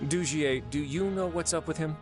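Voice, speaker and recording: An actor playing a composed, authoritative duke who runs a prison fortress in a video game, speaking in a measured, articulate way. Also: male voice, fairly high pitch, natural voice. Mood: concerned. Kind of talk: video game dialogue acting. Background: background game music.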